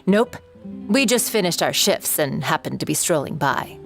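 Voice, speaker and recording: A woman's voice: deep voice, expressive, moderate pace, gravelly, faint music behind. An actress playing a curt, reluctant female city guard in a video game.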